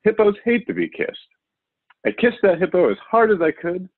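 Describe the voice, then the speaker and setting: A man voicing a wild, overconfident male TV survival show host from a children's storybook, excitable and showy. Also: high voice, moderate pace, dry recording, voice pushed up. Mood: boastful, excited. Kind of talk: children's storybook character reading. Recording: narrow, phone-like audio.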